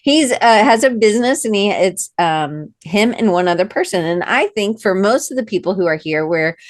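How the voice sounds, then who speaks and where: A woman's voice: medium pitch, lively intonation, normal speed, no echo, studio quality. An American woman hosting an interview show, talking in a bubbly, rambling way with lots of restarts. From United States. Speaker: podcast host.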